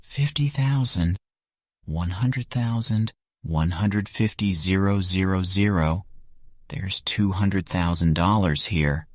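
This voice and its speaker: A man's voice: low-pitched, takes it slowly, no room sound, studio quality. A man narrating a story voices a tall thin policeman who is smug, gloating and sardonic. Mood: triumphant.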